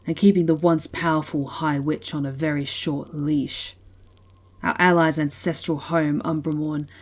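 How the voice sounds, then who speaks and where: A proud she-wolf ruling an ancient pack, acted by a woman in an ASMR fantasy drama. Her voice sits very low for a woman and has a husky rasp. She speaks with haughty, menacing deliberation. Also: a little mellow. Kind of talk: ASMR fantasy roleplay acting.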